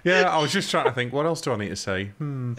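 A man's voice: medium pitch, sing-song, fast, bright, forceful tone. A British man hosting a gaming livestream, chatting casually and swearing freely in a laid-back way. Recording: faint noise, game gunfire behind.

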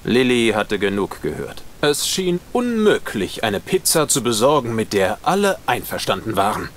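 Wildly melodic storybook game narrator. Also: male voice, on the low side, huge pitch swings, normal speed, a little hiss or hum. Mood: wry, measured. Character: storybook narrator, wry and theatrical. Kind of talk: scripted narration in an adventure game.

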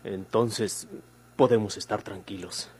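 An actor playing the respectful young son of a Mexican outlaw in an old radio drama. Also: male voice, on the low side, steady tone, slow, darkish tone, slightly rough. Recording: noisy old radio recording with hum.

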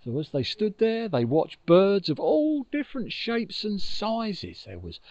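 An older man telling a children's story in an expressive, conversational way, recorded outdoors on a lapel mic. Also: high-pitched, unhurried, no room sound, dull audio. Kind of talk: informal oral storytelling for children. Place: outdoors on a grassy riverbank. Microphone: lapel mic with furry windshield.

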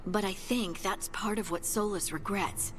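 A woman's voice: medium pitch, a little slow, a crowd in the background, natural voice. A woman voicing an earnest, troubled young adventurer in a fantasy video game, intent and reflective.

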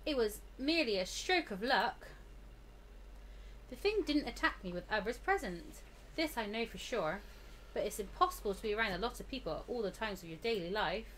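A woman's voice: on the high side, animated, a little fast, a bit bright, slight background noise, poor audio. A woman hosting a game playthrough, switching between reading aloud and casual chat. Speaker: gaming video host. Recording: game music behind the voice.

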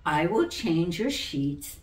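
A woman's voice: fairly low pitch, big pitch swings, takes it slowly. A woman voicing a loving, reassuring mother in a storybook, patient and soothing, in a roomy recording. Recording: roomy, distant from the mic.

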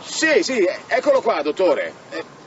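High-voiced, deferential police marshal. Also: male voice, wildly swooping pitch, a little slow, exaggerated voice. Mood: hesitant. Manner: hesitant, stammering, deferential. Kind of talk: radio drama acting. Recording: muffled radio drama with outdoor noise.

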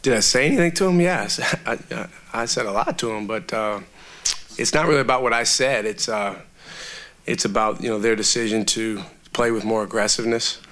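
Bright-voiced coach with lots of fillers. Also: male voice, on the low side. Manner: measured, steady, many "uh, you know" fillers. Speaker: college basketball coach. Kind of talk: post-game press conference answers. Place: press room before a sponsor backdrop. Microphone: table microphone.

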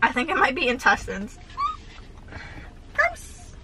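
A woman's voice: high-pitched, wildly swooping pitch, slow, background noise. A young woman chatting in a bubbly, giggly way, with lots of "like", while eating in a parked car. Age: young adult. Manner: bubbly, says "like" constantly, giggly. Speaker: vlogger. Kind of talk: casual chatty vlog with eating. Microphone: camera on dashboard facing her.

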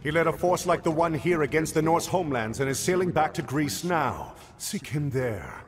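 A man's voice: on the high side, animated, moderate pace, lightly acted. An actor playing a grave, fatherly heroic spirit who gives solemn commands in a dramatic video game. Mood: solemn, urgent. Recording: long ghostly reverb under music.

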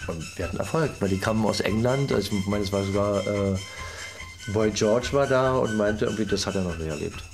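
A man's voice: on the low side, a little fast, vocal fry. A man giving a spoken recollection as an interviewee in a documentary. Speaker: techno scene veteran interviewee. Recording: music under the voice.

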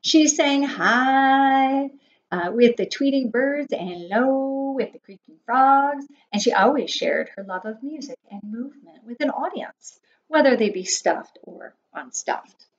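High, lilting woman reading a storybook. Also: expressive, a little slow. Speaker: preschool teacher. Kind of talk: children's picture book read-aloud. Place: small wood-panelled room indoors. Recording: dull webcam audio with faint noise.

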